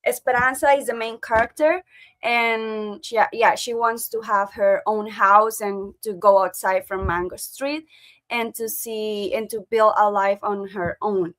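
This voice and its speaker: A woman's voice: mid-range voice, fairly even intonation, measured pace, a bit bright. A woman hosting an online book club over a video call. English is not her first language, and she talks hesitantly with many fillers and restarts. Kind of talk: casual online meeting chat.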